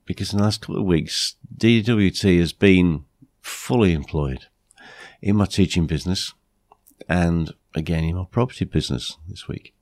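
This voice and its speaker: A British man hosting a podcast with a blunt, plain-spoken manner, his voice croaky from flu. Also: deep voice, normal speed, a little mellow, no echo, studio quality. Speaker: podcast host. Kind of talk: podcast monologue, anecdotes and advice.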